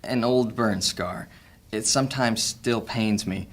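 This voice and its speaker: An actor playing a cunning, guarded male master thief in an audio drama, speaking in a measured, sly way. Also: on the low side.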